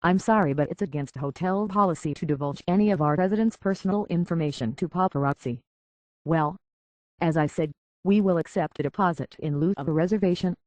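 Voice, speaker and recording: A man's voice: on the high side, brisk, a little mellow, no echo, close to an everyday voice, poor audio. A man voicing a courteous, anxious robot butler and hotel concierge in a video game, with a British accent and a formal, fussily polite manner. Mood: prim, officious. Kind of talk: scripted video game character acting.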